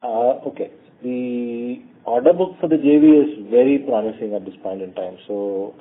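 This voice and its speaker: A man with an Indian accent answering questions as a company executive on an earnings call, in a measured, halting way with many long hesitations. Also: medium pitch. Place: conference call. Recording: narrowband tinny phone conference line.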